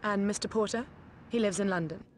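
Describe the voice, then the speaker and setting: An actress playing a poised, calculating female criminal mastermind in a cinematic video game, speaking in a composed, measured way. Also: medium pitch, slight background noise, natural voice. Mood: cool, businesslike.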